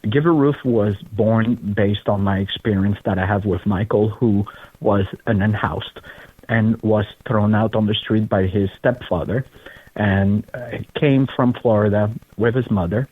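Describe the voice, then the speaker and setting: A middle-aged man with a French accent, from Belgium, telling his story in an interview with earnest, unhurried pacing. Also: lowish voice, slightly rough, no echo. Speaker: guest, charity founder. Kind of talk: interview conversation. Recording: tinny phone-like line.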